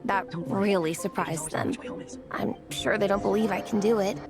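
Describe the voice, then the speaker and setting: A woman's voice: mid-range voice, moderate pace, over music, close to an everyday voice. A woman voicing an earnest young comic-artist girl in a video game, insecure but determined, with a hesitant, heartfelt way of explaining herself.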